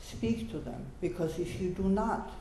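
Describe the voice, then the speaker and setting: A woman in her eighties, a Holocaust survivor on a panel, giving personal testimony in an earnest, measured way that turns gently urging. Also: fairly low pitch, lively intonation, creaky, slight background noise. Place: university lecture hall. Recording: echoey lecture hall.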